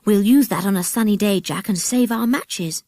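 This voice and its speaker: A woman's voice: medium pitch, normal speed, a little mellow, dry recording, studio quality, voice pushed up, natural voice. A British woman voicing an eager, helpful young boy adventurer in a children's storybook, keen and practical. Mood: pleased. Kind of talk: children's storybook character reading.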